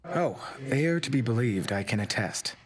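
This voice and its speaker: A dignified warrior shade from a video game, voiced by a man, speaks in a medium-pitched male voice. He is formal, restrained and wryly courtly. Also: lively intonation, normal speed, close to an everyday voice.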